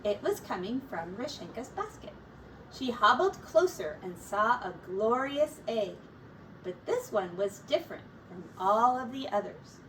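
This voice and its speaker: A woman with a high voice reads a children's story aloud like a teacher. She takes her time, shaping the phrases expressively and deliberately for small listeners. The recording is a little distant from the microphone, with faint noise behind her. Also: very animated, fairly bright tone.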